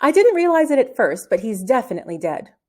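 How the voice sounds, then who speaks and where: A woman's voice: on the high side, big pitch swings, fast, warm tone, pitched above the performer's own voice. A woman reading a storybook as a casual, slightly sheepish schoolboy with an offhand, reassuring way of talking. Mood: matter-of-fact.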